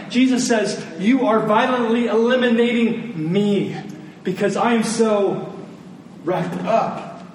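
Echoey, high-voiced man preaching a sermon. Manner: emphatic, with deliberate repetition and rhetorical questions. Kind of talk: sermon to a live congregation. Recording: very echoey room, distant mic, faint noise.

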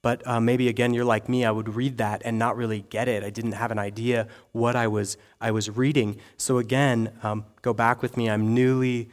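A man's voice: mid-range voice, level tone, normal speed, few pauses. A young adult man preaching to a congregation, conversational and self-deprecating, often checking in with "okay?" and "right?". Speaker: pastor. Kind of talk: sermon.

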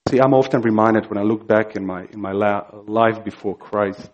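A man from Croatia with a Croatian accent, preaching a sermon earnestly and emphatically, slow and building to fervor. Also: lowish voice, fairly even intonation, muffled recording, background noise. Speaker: pastor.

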